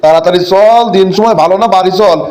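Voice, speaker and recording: A man's voice: high-pitched, quick pace, crowd noise, lightly acted. A man voicing a crafty, greedy village boss in a comic cartoon, bossy, with a rural Bangladeshi Bengali accent. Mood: uneasy, bossy.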